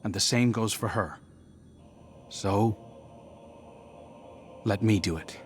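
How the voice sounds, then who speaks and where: Resolute game warrior seeking redemption: lowish voice, sincere and measured, light music underneath. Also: male voice. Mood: earnest, determined. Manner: earnest, measured, pleading. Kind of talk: scripted game dialogue.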